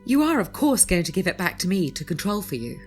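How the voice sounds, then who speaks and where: A woman's voice: lowish voice, very animated, fast talker, soft background music. A woman playing a haughty, controlling middle-aged widow and mother in an audio drama, imperious and formal. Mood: imperious, offended.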